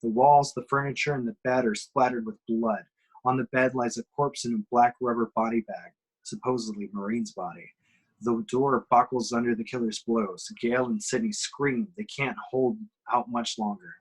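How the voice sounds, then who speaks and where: A man's voice: mid-range voice, moderate pace, breathy edge, low-quality recording. A man giving emphatic, suspenseful scripted narration as he reads action descriptions at an amateur table read.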